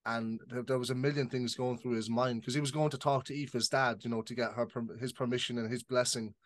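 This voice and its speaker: A man hosts a casual interview and asks questions in a relaxed, attentive way. His voice is mid-pitched. He is recorded in a dry space. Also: brisk, dry recording. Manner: attentive, with frequent short affirmations.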